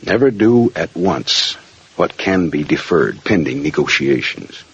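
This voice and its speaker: An actor playing a world-weary American private detective, sardonic and clipped, in mid-century radio drama style. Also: male voice, low voice, expressive, unhurried, husky, full character voice. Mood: dry, wry. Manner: sardonic, clipped, dry delivery. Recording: muffled, hissy old radio recording.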